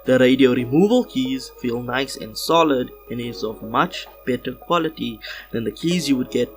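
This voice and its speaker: A man reading a scripted product unboxing voiceover in a measured, instructional way, recorded on a small external car-radio microphone. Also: mid-range voice, faint music behind. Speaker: host of a car audio channel. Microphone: small external car-radio microphone, cleaned-up voiceover.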